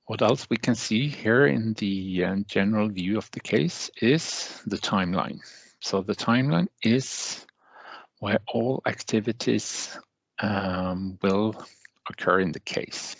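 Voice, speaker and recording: A man narrating a step-by-step software tutorial as a trainer, with halting, deliberate phrasing and hesitant pauses. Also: fairly low pitch, gravelly, no echo, rough recording.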